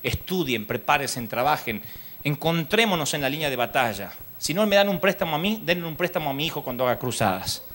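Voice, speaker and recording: Bright-voiced Argentine preacher man. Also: fairly high pitch, a little fast. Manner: lively storytelling, mimics people in his anecdotes; rises to loud exhortation. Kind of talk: sermon at a church congress. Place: church congress hall.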